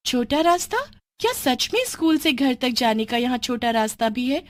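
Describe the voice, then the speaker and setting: Woman doing a friendly little schoolgirl for kids cartoon, squeaky high, easily spooked. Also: lively intonation, normal speed, no room sound. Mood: friendly, surprised.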